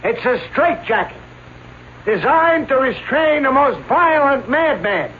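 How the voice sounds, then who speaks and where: A man's voice: very high-pitched, sing-song, a little slow, exaggerated voice. A man playing a proud, theatrical escape artist in a radio drama, addressing his audience in a florid, declamatory way. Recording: tinny, noisy old radio recording.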